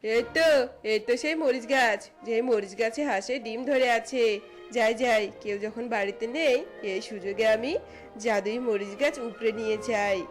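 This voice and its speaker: Woman acting a high-voiced, envious village neighbour. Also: normal speed, faint music behind, lightly acted. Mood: greedy scheming. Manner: complaining, dramatic. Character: jealous, greedy, scheming village neighbour woman. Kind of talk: cartoon fairy-tale acting.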